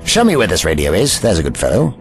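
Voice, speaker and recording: A man's voice: sits low, wildly swooping pitch, quick pace, faint music behind, full character voice. A man playing a genial, self-assured old time-traveller in an audio drama, pompous and avuncular, given to muttering. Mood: absorbed, muttering.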